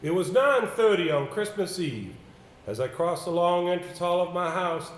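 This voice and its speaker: A man on a theater stage acting a nervous, earnest gentleman who recites awkwardly, with a hesitant, apologetic and formal manner. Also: high-pitched, animated, moderate pace. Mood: stiff reciting. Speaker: stage actor in an amateur two-man play. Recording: roomy theater stage recording, background noise.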